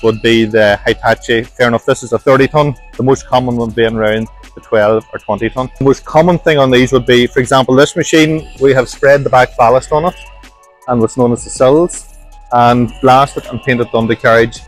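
A man's voice: medium pitch, fairly even intonation, fairly quick, faint music behind. A middle-aged man, explaining a large industrial workshop step by step as he walks through it. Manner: plain, practical, explaining step by step. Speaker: workshop manager giving a tour. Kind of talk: walking tour explanation.

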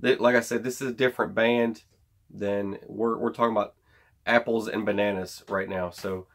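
A man in his thirties with a low voice and plenty of creak. He talks informally, like someone showing gear at his own workbench, wandering from point to point in a folksy, unscripted way. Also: steady tone, normal speed. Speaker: host of a gear review channel. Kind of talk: casual product unboxing and review chat. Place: indoor home workshop.

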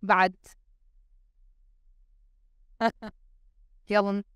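A young woman moderating a live panel on stage with a handheld mic, engaging the audience with quick polls. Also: medium pitch, little pitch movement, clean tone, no echo. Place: stage in a large event hall.

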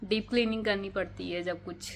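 थकी हुई, सर्दी से बैठी आवाज़ वाली महिला. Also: female voice, mid-range voice, steady tone, a little hiss or hum. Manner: tired, chatty and unhurried, with many fillers. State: hoarse from a cold. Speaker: vlogger. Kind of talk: casual vlog talk to camera. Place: home bedroom, indoors. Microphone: phone held at arm's length.

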